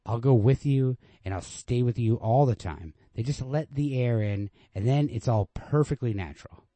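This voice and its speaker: An insistent, defensive man coaxing his lover as read by a man in a storybook. His mellow voice is persuasive and dismissive, pushed a little higher than the reader's own talk. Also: normal speed, no echo, close to an everyday voice. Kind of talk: storybook read-aloud dialogue.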